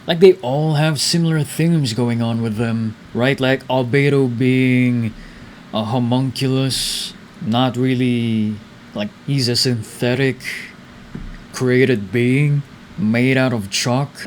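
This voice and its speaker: Slow, musing man reacting to a game. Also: medium pitch, a little mellow, a little hiss or hum. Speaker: streamer reacting to a game story. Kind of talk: casual reaction commentary.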